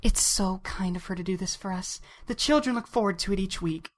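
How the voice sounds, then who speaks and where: A woman's voice: mid-range voice, brisk, close to an everyday voice. A woman playing a kindly pony receptionist in an audio drama, polite, helpful and apologetic. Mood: grateful, modest.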